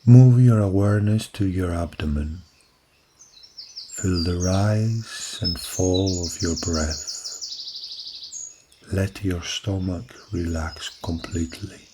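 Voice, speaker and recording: A man guiding a yoga nidra body scan in a soft, calm voice with long pauses. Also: sits low, background noise. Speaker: meditation guide. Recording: birdsong clearly behind the voice. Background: recorded birdsong from woods.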